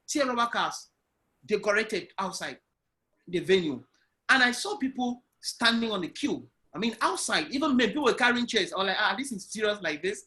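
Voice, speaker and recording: A man telling his business story on a video call interview, with a loud, animated way of speaking. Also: high-pitched. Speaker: guest entrepreneur. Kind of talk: online interview, personal story.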